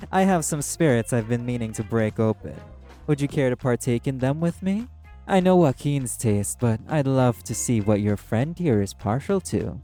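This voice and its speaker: A silky, theatrical demon casino owner acted out by a man for an audio roleplay. The voice is lifted and mellow, lingering over flattery and endearments with predatory, courtly charm. Music plays behind it. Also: medium pitch, voice pushed up, close to an everyday voice. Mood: courting, coaxing.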